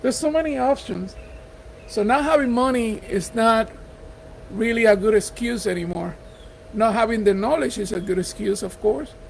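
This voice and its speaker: Middle-aged Puerto Rican man with an accent, high gravelly voice, earnest encouraging investor vlog, faint hum behind. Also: moderate pace, rough recording. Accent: Puerto Rican Spanish. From Puerto Rico. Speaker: real estate investor. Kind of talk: motivational talk to camera. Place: house.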